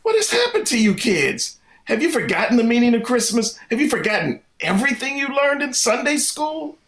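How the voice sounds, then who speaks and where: A man's voice: very high-pitched, big pitch swings, a little fast, a bit bright, full character voice. An actor playing an exasperated, idealistic 1950s family father in an old-time radio comedy.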